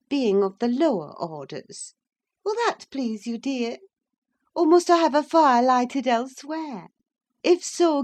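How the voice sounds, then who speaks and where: A woman voicing an impulsive, excitable young lady who speaks in a high, breathless, gushing way. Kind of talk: audiobook character reading.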